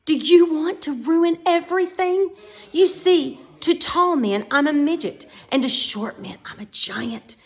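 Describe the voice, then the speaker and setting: A woman's voice: high voice, expressive, a little slow, pitched above the performer's own voice. Woman doing a muddled, rambling little man. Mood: rambling, confiding. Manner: rambling, confused, cheerfully evasive.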